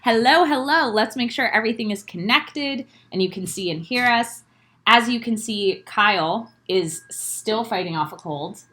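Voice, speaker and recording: A woman's voice: fairly high pitch, lively intonation, moderate pace, fairly bright tone. A young woman co-hosting a casual recap podcast from home, upbeat, laughing often and greeting viewers by name. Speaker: podcast co-host. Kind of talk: casual recap chat, live stream. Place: home bedroom.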